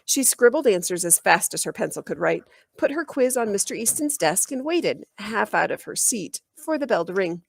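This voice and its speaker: A woman reading a children's book aloud in an animated, expressive storytelling style. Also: medium pitch, normal speed, creaky, hardly any pauses, no room sound. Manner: animated, acts out dialogue.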